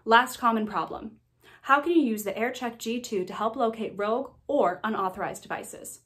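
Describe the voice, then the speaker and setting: A woman's voice: on the high side, normal speed, fairly bright tone. A young woman hosting, presenting scripted questions and introductions. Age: young adult. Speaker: host.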